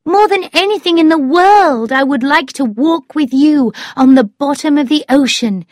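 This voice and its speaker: Woman playing young actress, high lifted pitch, earnest, eager, dreamy, lovestruck, storybook character, dry sound. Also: moderate pace, darkish tone, dry recording, close to an everyday voice. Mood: loving, dreamy.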